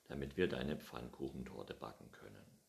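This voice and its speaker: A man's voice: a bass voice, moderate pace, creaky, pitched below the performer's own voice, exaggerated voice. A man voicing an absent-minded, tidy old farmer who grumbles and scolds his cat in a storybook reading. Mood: grumbling. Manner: loud, grumbling, muttering hm.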